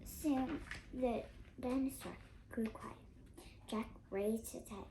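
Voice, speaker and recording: An eight-year-old girl reading a storybook aloud, carefully and a little haltingly, with expression. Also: fairly high pitch, dark tone. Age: child of 8. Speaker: young reader. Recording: noisy home recording.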